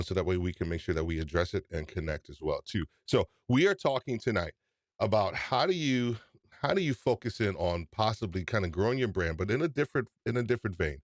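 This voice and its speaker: Man with a New York accent, lower pitch, energetic live-stream host, dry close sound. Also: no echo. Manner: energetic, greets chat viewers by name. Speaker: podcast livestream host. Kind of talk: casual live-stream chat.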